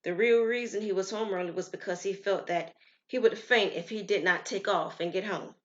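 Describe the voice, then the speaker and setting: A woman narrating a scripted story as storyteller, in a measured, expressive way. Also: mid-range voice. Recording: slightly muffled, lo-fi.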